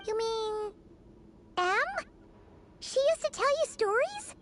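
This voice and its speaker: A woman voicing a small, childlike sprite companion in a video game, curious and excitable, with a chirpy, inquisitive manner. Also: extremely high pitch, slow, noisy background, exaggerated voice.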